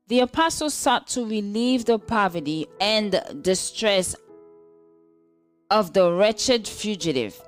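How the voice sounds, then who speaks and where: A woman reading a scripted religious devotional aloud as narration. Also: lowish voice. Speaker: devotional reader.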